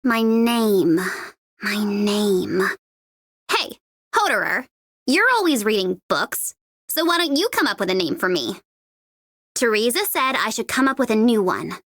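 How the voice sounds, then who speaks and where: A woman's voice: on the high side, big pitch swings, unhurried, pauses often, fairly bright tone, no room sound, clean studio sound, full character voice. A woman voicing a young female mercenary bomber in a video game, gleeful and taunting, with a playful, sardonic, theatrical delivery. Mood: amused teasing. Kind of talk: video game character voice lines.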